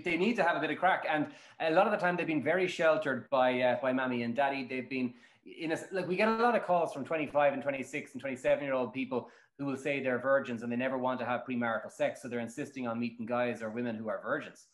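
Man, Irish accent, mid-pitched voice, colloquial, emphatic storyteller, interview guest, roomy echo. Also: fast talker. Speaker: matchmaker guest. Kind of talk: animated interview answers with anecdotes. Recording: roomy, echoing sound.

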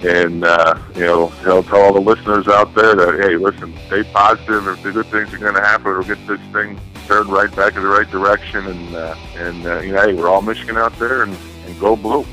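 A man's voice: low voice, steady tone, fairly quick, hardly any pauses, sharp tone, faint music behind, rough recording. A man speaking as a podcast guest in a casual sports talk, earnest and repeating phrases for emphasis. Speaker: podcast guest, former college football player.

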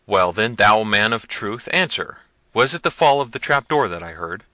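A man voicing a wrathful older tyrant prince who speaks loudly and harshly. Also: low voice, expressive, moderate pace, no room sound, exaggerated voice. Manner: loud, harsh, threatening.

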